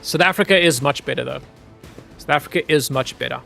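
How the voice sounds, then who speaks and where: A man's voice: medium pitch, brisk, creaky, bright, forceful tone, soft background music. A man from Zimbabwe streaming a game, chatting easily with his viewers and laughing often while he plays. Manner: easygoing, chatty, laughs often, talks to chat. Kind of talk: casual gameplay commentary.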